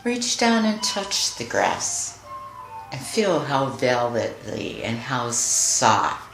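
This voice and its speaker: A woman leading a guided meditation in a soothing, deliberate manner, leaving long pauses between phrases. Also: very deep voice, big pitch swings. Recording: roomy echo with music behind. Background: music.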